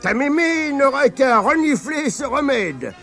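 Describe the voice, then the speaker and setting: A man voicing a sly fairground charlatan doctor who booms out a drawn-out sales pitch. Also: big pitch swings, a bit bright, soft background music, full character voice. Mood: hawking.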